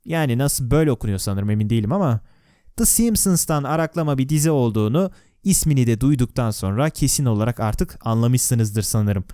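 A man's voice: mid-range voice, animated, fairly quick, mellow, clean tone, no echo. A man narrating a video in a scripted but conversational way, with wry, sarcastic asides and questions to the viewer, recorded close on a voice-over microphone. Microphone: close, dry voice-over microphone.

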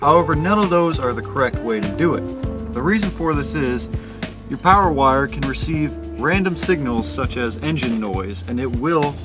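A man's voice: medium pitch, expressive, moderate pace. A man in his twenties giving a casual how-to explanation to his viewers. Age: 20s. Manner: casual, addresses viewers as guys. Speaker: host. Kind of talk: how-to vlog, explanatory talk to camera. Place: outdoors by and inside a parked or moving car. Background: music.

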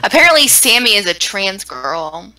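A woman's voice: mid-range voice, big pitch swings, normal speed, pushed and bright. A young woman chatting casually and bluntly, with swearing, on a gaming stream. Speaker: guest co-host on a gaming stream.

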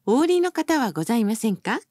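High-pitched woman making formal bus announcements. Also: very animated, quick pace, mellow, no room sound. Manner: formal, polite, carefully enunciated. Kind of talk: scripted recorded bus announcements.

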